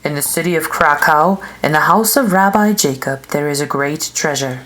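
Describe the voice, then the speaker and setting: A solemn guardian angel from a storybook, acted out by a woman. The voice sits low. Each phrase is a grave, measured proclamation. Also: a bit bright. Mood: solemn, proclaiming. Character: solemn guardian angel announcing hidden treasure. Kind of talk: storybook character voice.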